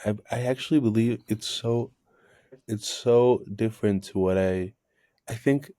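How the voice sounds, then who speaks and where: Dark-voiced Chilean man, reflective. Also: on the low side, unhurried, no echo. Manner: reflective, stumbling restarts. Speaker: podcast co-host. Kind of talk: casual chat.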